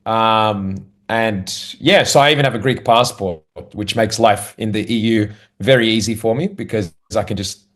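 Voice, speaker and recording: A man's voice: fairly low pitch, moderate pace, hardly any pauses. A young adult man with an Australian accent, chatting casually as a guest and talking about himself with an easy, self-deprecating humor that breaks into laughs often. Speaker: guest, comedian. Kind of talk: casual conversational interview.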